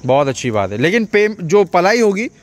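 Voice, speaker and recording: Indian man, slightly high-pitched vlog host. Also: very animated, normal speed. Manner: code-switches into English phrases. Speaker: vlogger and interviewer. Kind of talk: casual vlog chat, asking questions. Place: outdoors in an open dirt farm yard.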